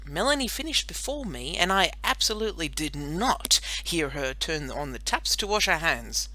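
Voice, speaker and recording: A woman reads as a serious, self-certain schoolgirl in a storybook. Her voice is very bright and lively in pitch, with deliberate, insistent emphasis. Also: dry recording, exaggerated voice, rough recording.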